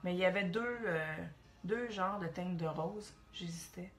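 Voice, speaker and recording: A woman's voice: fairly low pitch, unhurried, a bit bright, a little hiss or hum. A woman in her forties with a Quebec French accent, chatting casually through a makeup tutorial and rambling as she thinks aloud. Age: 40s.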